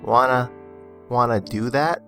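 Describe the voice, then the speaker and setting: A man voicing an anxious, childlike young male pony in a cartoon-based audio story, haltingly echoing words. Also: mid-range voice, sharp tone, soft background music. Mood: worried. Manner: halting, repeating others' phrases.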